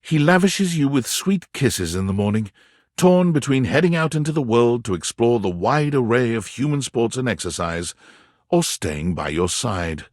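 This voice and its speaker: A man playing a wry, warm storyteller who narrates a dating game in the second person, theatrical and with deliberate dramatic pauses. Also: mid-range voice, sing-song, dry recording, clean studio sound. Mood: admiring, wry.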